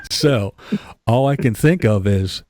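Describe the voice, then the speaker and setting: A man's voice: mid-range voice, animated, slow pace, darkish tone, no room sound, clean studio sound. A middle-aged man hosting a casual interview, playful and joking. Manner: playful, joking, laughs easily. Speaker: podcast host.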